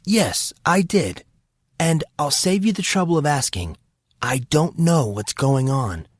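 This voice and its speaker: A man's voice: fairly high pitch, expressive, unhurried, breathy edge, no room sound, voice pushed up. Wry teenage boy, played by a man, sarcastic and guarded, brooding fantasy-drama hero, close dry sound. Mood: defensive.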